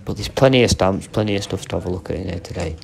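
A man with an English accent and a low voice talks casually to his viewers as a vlog host. He is chatty and informal, addressing them directly as he browses and comments. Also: very fast, vocal fry, a crowd in the background. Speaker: video host and reseller. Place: indoor auction warehouse.

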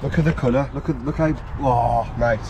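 A man's voice: medium pitch, normal speed. A man in his thirties vlogging outdoors, chatting casually and enthusiastically about old cars while filming on an action camera. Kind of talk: casual walkaround chat. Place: outdoors on a street. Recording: noisy outdoor street recording.

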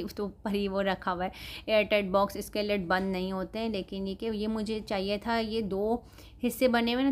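A woman in her thirties with a Pakistani Urdu accent, talking casually in a shopping haul vlog and frankly judging prices and quality item by item. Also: medium pitch. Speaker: vlogger. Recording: voice-over with loud background music. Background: music.

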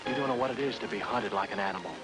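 An actor playing a hunted young American aircraft worker, narrating an old film trailer in an earnest, urgent way over music. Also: male voice, lowish voice, rapid-fire, close to an everyday voice, poor audio. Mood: tense.

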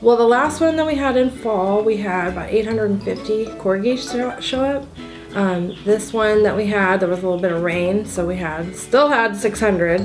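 A woman in her forties answers interview questions at a table in a room, chatty and upbeat, with a lot of little ums. Her pitch sits in an ordinary middle range. Soft music plays clearly underneath her. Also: moderate pace. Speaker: event co-founder.

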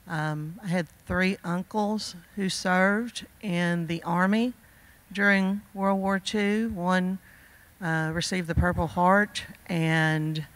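A woman in her sixties, a longtime teacher, giving a heartfelt speech at a podium in a school auditorium, earnest and full of pauses and fillers. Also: fairly low pitch. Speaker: teacher presenting awards. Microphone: podium microphone.

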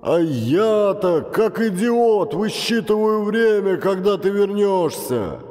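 Man acting an enraged explorer father, high-pitched bellowing. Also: expressive, takes it slowly, long reverb tail. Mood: furious, indignant. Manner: loud, indignant, exclaiming. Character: furious injured father, explorer, cursing and bellowing.

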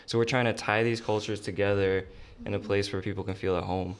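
A man's voice: on the low side, fairly even intonation, a little fast, clear, resonant tone. A young man from Bolivia, a graduating student, answering in an easygoing way on a studio mic. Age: young adult. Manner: easygoing, light laughs. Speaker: student guest. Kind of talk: casual interview answers. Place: studio room. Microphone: studio mic on boom arm.

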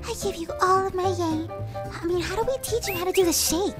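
A performer voicing an excitable, nervous, sweet young cartoon pony girl in a squeaky voice, stammering and gushing through in-character game commentary. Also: a little fast. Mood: unsure, rambling. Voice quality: squeaky, very high voice. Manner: stammering, gushing, childlike chatter. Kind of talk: in-character let's play commentary. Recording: music behind the voice.

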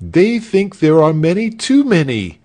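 Storybook rebel: a man voicing a hot-headed protester, high and blurting, wildly varied pitch. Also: wildly swooping pitch, takes it slowly, vocal fry, full character voice. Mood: indignant. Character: indignant, hot-headed male rebel protesting to his ruler.

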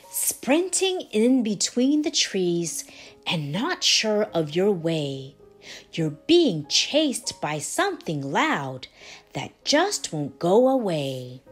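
A woman reads a children's picture book aloud in verse. Her pitch rises and falls expressively while the pace stays slow and deliberate, giving each phrase some drama. Music plays behind her voice. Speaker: storybook reader.

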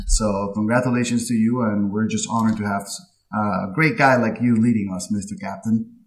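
A man in his late thirties from Puerto Rico, a guest on an insurance-industry interview podcast, telling his story in a chatty, animated way with frequent laughs, recorded on a headset mic over a video call from a home office. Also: lowish voice, moderate pace. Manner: chatty, animated with hand gestures, laughs often. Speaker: insurance agency owner, guest. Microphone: headset with boom mic on video call.

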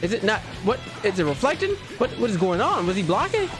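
A young man streaming a video game through a headset at home, reacting with loose, slangy excitement. He says himself that his voice is fairly high. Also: fast talker. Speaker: gaming streamer. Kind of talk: casual gameplay commentary. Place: home gaming setup, indoors. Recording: game music playing behind. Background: game music and sound effects.

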